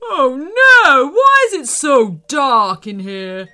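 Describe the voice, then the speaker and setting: A woman voicing a male eagle in a children's story, aggressive and rude, with loud, exclaiming delivery. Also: a little high, animated, slow pace, soft background music. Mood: frightened. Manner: loud, screeching threats and exclamations.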